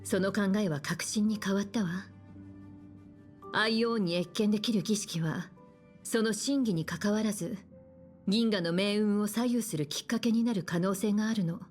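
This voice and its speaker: An actress playing a composed, caring woman navigator in an anime-style game, guiding gently. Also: mid-range voice, quick pace, faint music behind, close to an everyday voice. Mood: grave, knowing. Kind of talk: Japanese anime game voice acting.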